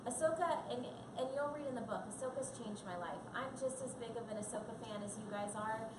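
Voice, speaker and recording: A high-voiced woman talks earnestly and chattily as a panel guest, her words full of fillers. She sounds distant from the mic, and the room rings around her. Steady background noise from the shop sits under her voice. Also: brisk, echoey room. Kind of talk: live panel talk. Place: coffee and comics shop event.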